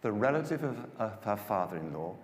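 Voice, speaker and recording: A man narrating scripture as a dramatised story, with a measured and expressive delivery. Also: fairly low pitch, crowd noise. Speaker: narrator, Bible reader. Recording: echoey hall, long reverb, music.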